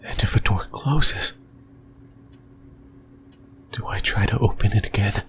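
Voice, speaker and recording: A man performs a possessive, yearning demon who whispers right up at the listener's ear. The voice is very low and breathy, drifting through halting, intimate musings at a very slow pace. Clear background noise sits under this creepy ASMR roleplay. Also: a bit bright. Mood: uneasy musing. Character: possessive, creepy male sleep paralysis demon, yearning and lonely. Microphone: close microphone.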